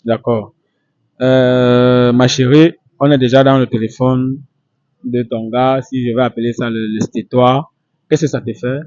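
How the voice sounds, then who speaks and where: A man hosting an unscripted street interview, speaking in halting, repetitive phrases. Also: mid-range voice, flat delivery, darkish tone, no room sound, lo-fi sound.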